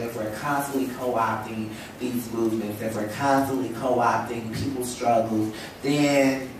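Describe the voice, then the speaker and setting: A woman's voice: extremely low pitch, slight background noise. Brief: young woman, stuffy head cold, impassioned panel speaker, heard distantly in a very echoey hall. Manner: emphatic, rhetorical, lots of "you know". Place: lecture hall stage at a table. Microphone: table stand mic. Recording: echoey hall, mic from far off.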